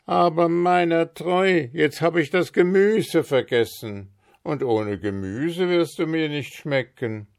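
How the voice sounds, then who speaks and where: A man's voice: fairly high pitch, slow, voice pushed up. A man voicing a greedy child-snatching bogeyman in a storybook reading, calling out in a raised voice.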